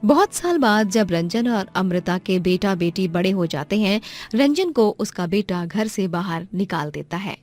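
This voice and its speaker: A woman's voice: medium pitch, expressive, measured pace, few pauses, darkish tone, faint music behind. A woman narrator reading a scripted moral story.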